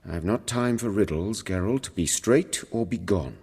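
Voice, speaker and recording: An actor playing a stern, proud professor of surgery in a video game, speaking in a measured, formal, dry way. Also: male voice, fairly low pitch, darkish tone, breathy edge, big-room sound. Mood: stern, defensive.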